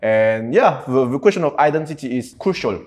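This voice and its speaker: A man's voice: medium pitch, lively intonation, a little fast, a little mellow. A man in his thirties with a French accent, a native Cantonese and French speaker, talking casually as an interview guest. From French Guiana. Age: 30s. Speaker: podcast guest, entrepreneur.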